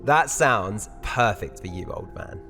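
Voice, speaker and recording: A woman's voice: a bass voice, animated, a little slow, fairly bright tone, soft background music, exaggerated voice. A woman playing a desperate, determined young captive, the eldest sibling, speaking earnestly and urgently in an audio drama. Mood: exasperated, determined. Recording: long hall-like reverb.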